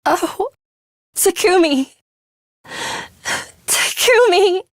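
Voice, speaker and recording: A woman voicing a composed, battle-hardened young woman in an English video game, speaking in a deliberate, matter-of-fact way. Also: very high voice, animated, no room sound, studio quality, exaggerated voice. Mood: anguished. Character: young woman, composed battle-hardened time-looper, coolly urgent ally.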